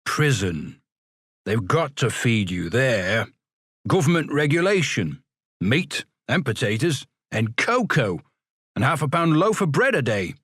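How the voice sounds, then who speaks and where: A man's voice: medium pitch, big pitch swings, measured pace, a bit bright, husky, no room sound, clean studio sound, voice pushed up, exaggerated voice. A man voicing a practical, streetwise young male pauper who talks plainly. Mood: scheming.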